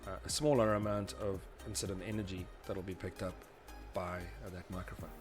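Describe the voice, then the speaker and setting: A man with a fairly low voice presents a technical lesson. He explains step by step in an informal, conversational manner, as if talking someone through diagrams. Soft music plays faintly behind him. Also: fairly even intonation, fairly quick. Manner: informal, conversational, often adds "you know" and "obviously". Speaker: presenter of a tutorial series on PA systems.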